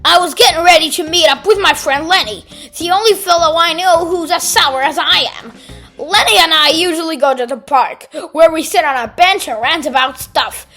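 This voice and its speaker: A woman voicing a sour, dramatic grape who tells its own story in an expressive children's read-aloud manner. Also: extremely high pitch, heavily acted. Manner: exclamatory, theatrical, drawn-out delivery.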